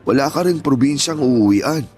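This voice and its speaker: A man playing an aging father in a radio drama, stern at first and then gentle and pleading with his grown son. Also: mid-range voice, lively intonation, normal speed, faint music behind, close to an everyday voice. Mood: searching. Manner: pleading, earnest, scolding turning gentle. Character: aging father, stern yet tender, grieving. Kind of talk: Tagalog radio drama acting.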